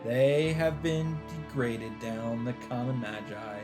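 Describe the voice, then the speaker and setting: A man's voice: medium pitch, steady tone, takes it slowly. Man reading aloud over music. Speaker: let's player reading a visual novel aloud. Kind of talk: dramatic read-aloud with casual commentary. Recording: background music under the voice. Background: game music.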